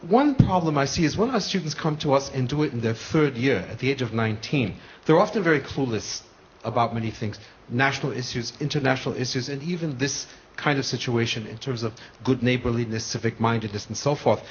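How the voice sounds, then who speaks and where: A man, speaking in a mid-pitched voice, passionately builds up his question from the audience and restarts his sentences often. The sound is dull and broadcast-muffled, with a faint hiss. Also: animated, fairly quick. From United States. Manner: earnest, passionate, with many restarts. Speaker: polytechnic lecturer in the audience. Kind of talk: question from the floor.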